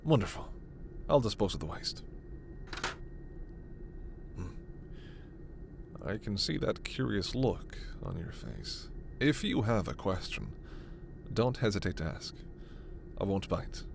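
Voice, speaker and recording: A man playing a gentle, scholarly, protective alien doctor in a sci-fi ASMR roleplay, speaking in a measured, formal, precise way. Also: fairly low pitch, very animated, vocal fry, noisy background, full character voice. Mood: calm, informative.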